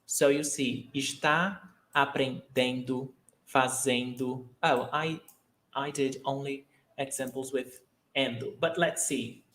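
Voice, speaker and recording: A man teaching a language lesson, speaking slowly and deliberately and repeating each example sentence. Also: on the high side, fairly bright tone, reverberant. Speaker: Portuguese teacher. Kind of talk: language lesson, mixing English and Portuguese.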